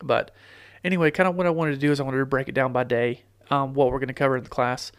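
A middle-aged man with a stuffy, congested nose talks into a podcast mic, rambling along with fillers and restarts. His voice stays smooth and clear despite the cold, and the sound is dry and close. His pitch barely moves, so the delivery runs level and even. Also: quick pace, no room sound. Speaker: podcast host. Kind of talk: casual solo podcast talk. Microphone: studio podcast microphone.

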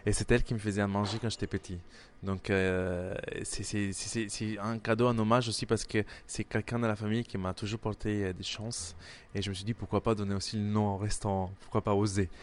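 Young chef speaking French, low voice. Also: male voice, level tone, fairly quick, a little hiss or hum. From Italy, grew up in Milan. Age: 20s. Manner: hesitant, many fillers and restarts. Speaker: chef and restaurant owner. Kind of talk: casual interview answers.

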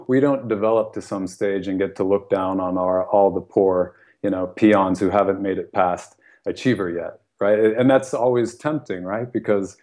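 A man's voice: low voice, moderate pace, darkish tone. An American man, a guest author, talking earnestly and thoughtfully in a podcast conversation, often laughing and saying "you know". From United States.

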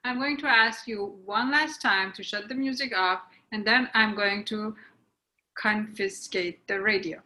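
A woman's voice: mid-range voice, fairly even intonation, measured pace, bright, forceful tone, poor audio. A woman playing a stern police officer giving firm orders in a screenplay reading. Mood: insistent. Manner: firm, commanding. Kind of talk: screenplay table read.

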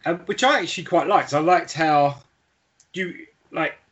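A man's voice: fairly high pitch, unhurried, fairly bright tone, muffled recording. A man co-hosting a podcast, casually talking through a book with another person. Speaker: podcast co-host.

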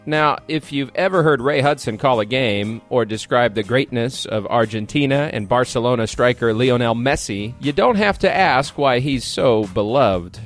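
A man's voice: mid-range voice, moderate pace, hardly any pauses, soft background music. A man hosting a podcast, giving a polished, wry scripted narration. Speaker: podcast host.

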